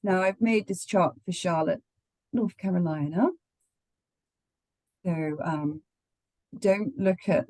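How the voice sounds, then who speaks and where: Woman astrologer, sick and croaky, casual and chatty, lots of ums. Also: low-pitched, mellow, no echo, poor audio. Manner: chatty, laughs often, many ums. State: croaky from the flu. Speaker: astrologer co-host.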